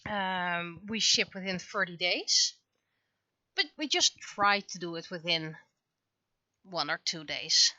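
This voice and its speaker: A woman chatting casually on a livestream as an artist at work, speaking haltingly as she thinks aloud. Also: fairly low pitch, pushed and bright.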